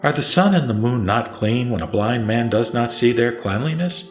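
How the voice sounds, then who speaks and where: A man voicing a calm, authoritative male spiritual teacher, solemn and instructive, in a scripture audio drama. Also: medium pitch, normal speed, roomy sound, faint music behind, natural voice.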